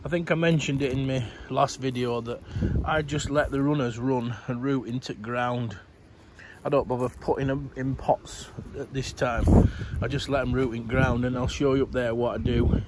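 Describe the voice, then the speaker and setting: Man, middle-aged, hoarse, rambling gardening vlogger, noisy outdoor recording. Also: medium pitch, steady tone, moderate pace. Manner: chatty, rambling, tired. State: croaky voice, coughing. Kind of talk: casual garden update to camera.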